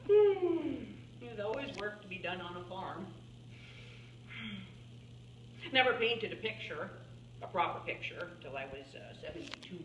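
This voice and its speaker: A woman playing an elderly, folksy farm-woman painter in a theatrical monologue, halting and musing, plainspoken and wry as she reminisces. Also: mid-range voice, big pitch swings, lightly acted. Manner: halting, musing, with small chuckles and pauses. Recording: roomy, distant mic, faint background noise.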